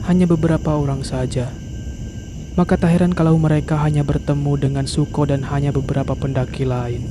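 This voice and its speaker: A man's voice: on the high side, fairly even intonation, a little fast, background noise. A man narrating a horror story in a scripted storytelling style.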